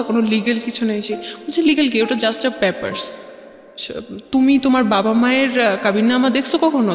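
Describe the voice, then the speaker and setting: Female interview guest, Bangladeshi accent, high pitch, halting personal storytelling, echoey reverb. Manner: halting, repeats phrases, mixes in English words. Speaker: guest telling own life story. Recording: echoey reverb, faint music bed. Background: faint music.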